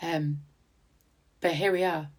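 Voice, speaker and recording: A tattoo artist with a croaky voice, chatting casually in a rambling voice-over with many ums, recorded outdoors in quiet countryside. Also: female voice, sits low, fairly even intonation, slow pace.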